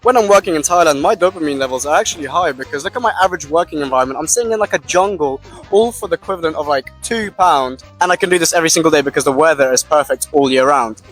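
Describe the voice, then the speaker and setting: Young man, Russian native, quick casual money vlog. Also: a little high, a bit bright, faint music behind, rough recording. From Russian-speaking background. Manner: quick, stumbling at times, casual slang. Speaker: vlogger. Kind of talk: fast-paced money-talk vlog. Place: outdoors.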